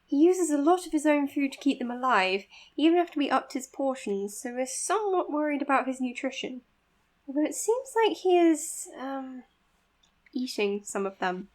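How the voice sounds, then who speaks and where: Young lady psychiatrist, high pitched, modest, precise, bit hesitant, audio drama style. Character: earnest, modest young woman psychiatrist, careful and devoted. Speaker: doctor being interviewed on a podcast. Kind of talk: audio drama acting, natural interview and voice notes.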